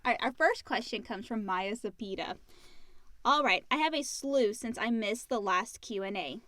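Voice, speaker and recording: Higher-pitched woman co-hosting a podcast. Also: animated, moderate pace, fairly bright tone, dry recording. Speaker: podcast co-host reading listener questions. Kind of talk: casual chat and reading questions aloud.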